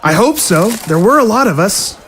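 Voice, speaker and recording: A woman's voice: mid-range voice, big pitch swings, moderate pace, slight background noise, close to an everyday voice. A woman playing a pleading, scheming young zombie girl held captive, in an audio drama. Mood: tense, concerned.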